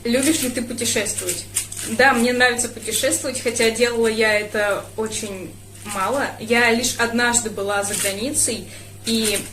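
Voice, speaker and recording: A woman's voice: on the high side, fairly even intonation, normal speed, fairly bright tone, reverberant, slight background noise. A young woman from Russia answering viewer questions in a casual vlog, thoughtful and hesitant, with frequent fillers. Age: young adult. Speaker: speedcuber vlogger.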